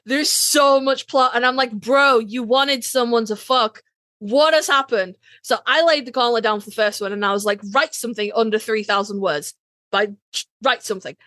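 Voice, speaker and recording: A woman with a highish voice, recorded very dry. She chats casually as a podcast co-host, slangy and freely sweary. She tells stories by acting out bits of conversation. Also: moderate pace, no echo. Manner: sweary, slangy, animated storytelling with quoted exchanges.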